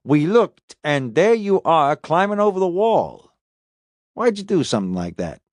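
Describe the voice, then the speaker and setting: Guy reading as sarcastic cop grilling a suspect, audiobook, pitch jumps around, really dry close sound. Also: big pitch swings, measured pace, a little mellow, dry recording. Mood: pressing. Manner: probing, taunting. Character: sarcastic, needling male police detective interrogating a suspect.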